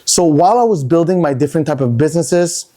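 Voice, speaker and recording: A man's voice: fairly high pitch, animated, fast talker, warm tone. A man from Montreal, a podcast guest and entrepreneur, telling his story in a chatty, looping way that repeats words. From Montreal, Canada. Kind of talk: casual podcast interview.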